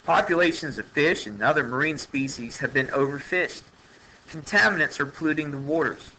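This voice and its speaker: A man's voice: a little high, moderate pace, pushed and bright, slight background noise, low-quality recording. A man reading an educational science text aloud like a teacher, in a plain manner with occasional stumbles. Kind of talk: educational read-aloud narration.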